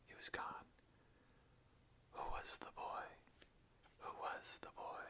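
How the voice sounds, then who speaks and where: An ASMR host speaking in a hushed, breathy, unvoiced whisper. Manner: slow, hushed delivery. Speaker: ASMR host reading song lyrics aloud. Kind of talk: whispered ASMR, reading and casual chat.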